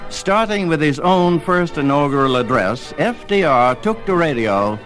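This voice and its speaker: A man's voice: on the high side, animated, unhurried, fairly bright tone, soft background music. A man reading scripted documentary narration as the narrator of a history of radio.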